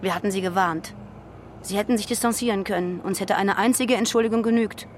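A woman's voice: medium pitch, brisk, slight background noise, close to an everyday voice. An actress in a radio drama playing a guarded, militant West German woman underground, terse and ideological in manner. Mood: defiant, righteous.

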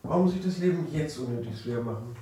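An actor playing a charming, broke young husband in a German radio drama, breezy, cajoling and evasive. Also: male voice, medium pitch, expressive, quick pace, dark tone, close to an everyday voice. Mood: cajoling, smooth. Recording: echoey room, distant mic, faint noise.

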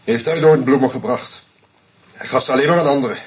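A man's voice: medium pitch, a little fast, husky, natural voice. An actor in a radio play voicing a bitter, cornered male murderer who speaks in cold, clipped lines. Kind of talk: radio drama acting.

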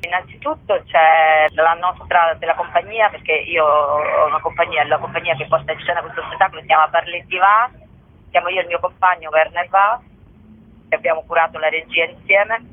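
A woman speaking as a guest in a radio interview, explaining her work in a reflective, halting way with frequent rephrasing. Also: fairly low pitch, fairly even intonation. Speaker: playwright and actress, interview guest. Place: remote phone call to a radio show. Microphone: telephone. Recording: tinny, band-limited telephone line.

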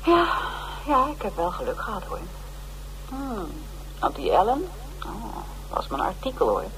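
A woman's voice: fairly high pitch, wildly swooping pitch, unhurried, a bit bright, slight background noise, heavily acted, rough recording. A woman playing a chatty, brazen stage actress in a radio drama, gushing and candid. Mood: smug, gossipy. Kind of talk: radio drama acting, casual dialogue.

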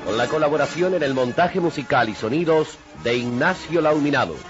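A male radio announcer reads credits formally and solemnly, in a slightly high voice. The old recording is dull and narrow. Music plays very loudly behind him. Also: brisk.

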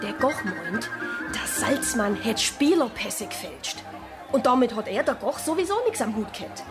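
A curious, modest deaconess with a Swabian accent. Her voice is slightly high and homely, set in a radio play with loud music underneath. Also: very animated, normal speed. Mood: earnest. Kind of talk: radio drama dialogue and narration.

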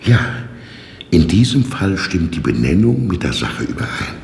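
A gravelly, ironic jailer. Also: male voice, sits low, big pitch swings, moderate pace, a little mellow, roomy sound, in a large hall, heavily acted. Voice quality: dry, ironic. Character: dry, mocking male jailer. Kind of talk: 1960s radio drama acting.

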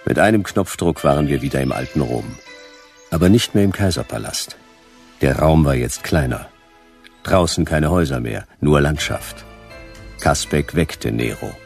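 Very deep, gravelly detective. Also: male voice, normal speed, soft background music, heavily acted. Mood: matter-of-fact. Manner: terse, dry, deadpan. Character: laconic hard-boiled private detective narrating his case. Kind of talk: noir radio play narration.

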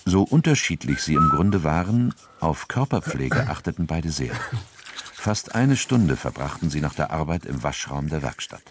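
Deep-voiced, sober male narrator. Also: big pitch swings, background noise. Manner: measured, sober, factual. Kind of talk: scripted literary crime narration, radio drama. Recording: faint music behind.

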